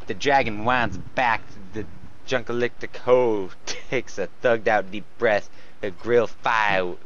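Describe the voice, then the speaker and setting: A man's voice: fairly low pitch, steady tone, slow pace, a bit bright, lo-fi sound, slight background noise. A man reading fan fiction aloud, giggly and often cracking up between joking asides. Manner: giggly, often breaking into laughter while reading. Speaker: fan fiction co-reader and commentator.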